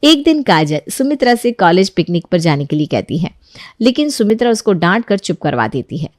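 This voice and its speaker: A woman narrating a moral cartoon story in an even storytelling manner. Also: on the low side, brisk, no room sound, clean studio sound.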